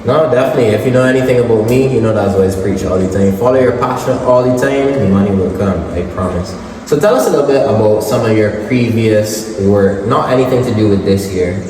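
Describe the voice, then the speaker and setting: Host in an echoey room. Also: male voice, on the low side, fast talker, slight background noise. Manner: upbeat, affirming with frequent "mm-hmm" and shout-outs. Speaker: interview host. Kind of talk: casual interview. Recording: echoey room, distant mic.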